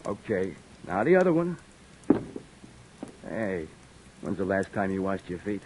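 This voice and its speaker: An actor playing a weary, wry beat cop in a 1950s radio drama, talking in dry streetwise wisecracks. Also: male voice, sits low, big pitch swings, measured pace, very noisy. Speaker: police officer narrating.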